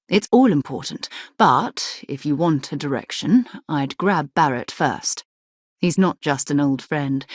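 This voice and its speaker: An actress playing an earnest, principled woman space explorer in a video game, speaking in an articulate, expressive way. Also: lowish voice, no echo, clean studio sound. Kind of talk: video game character dialogue.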